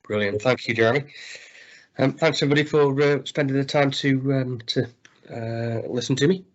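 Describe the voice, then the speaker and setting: A man with a cold-roughened, croaky voice giving a webinar presentation, halting with frequent fillers and restarts. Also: mid-range voice, fairly even intonation. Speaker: guest speaker on water hygiene. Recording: dull lo-fi webinar audio.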